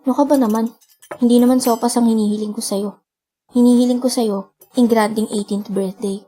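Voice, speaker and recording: A woman's voice: on the high side, fairly even intonation, a little fast, dark tone, husky. A woman playing a grumpy, ungrateful seventeen-year-old girl in a radio drama, with a whining, curt delivery. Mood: annoyed, demanding. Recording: music behind the voice.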